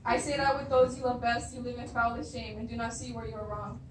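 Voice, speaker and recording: A stern, accusatory blind seer from a stage play acted by a young female student. The voice is somewhat high and solemn, heard from far off in a roomy space with clear background noise. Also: level tone, fast talker, close to an everyday voice. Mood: ominous, accusing. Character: stern, defiant blind prophet of doom. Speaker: drama student. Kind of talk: beginner stage readers' theatre.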